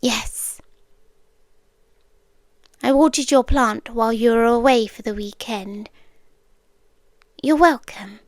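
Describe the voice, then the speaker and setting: A British woman playing a polite, obsessive yandere intruder in an ASMR roleplay, soft-spoken and often slipping into whispers, coolly teasing. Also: a little high, slow pace, pauses often, no room sound, clean studio sound. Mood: matter-of-fact, sly. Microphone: close microphone, dry.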